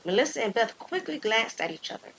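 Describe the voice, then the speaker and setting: A woman's voice: fairly high pitch, sing-song, fast, vocal fry, a bit bright, a little hiss or hum, low-quality recording. A woman reading scripted story narration as a storyteller.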